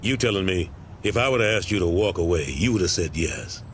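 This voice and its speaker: An aging, stubborn gang veteran in a video game drama. The voice sits low but swings widely as he reasons and pleads in street slang. Noisy ambience runs behind him. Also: animated, normal speed. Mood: disillusioned. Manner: street slang, emphatic arguing. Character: older male ex-gang leader, weary, pleading, stubborn. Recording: clearly noisy outdoor-like ambience.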